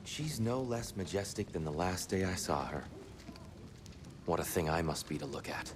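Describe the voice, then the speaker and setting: An actor playing an old god disguised as a war god, speaking gently and courteously in a video game cutscene. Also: male voice, deep voice. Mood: soft, courteous. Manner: gentle, measured, apologetic. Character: elderly god disguised as a war god, soft-spoken. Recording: game audio with steady background noise.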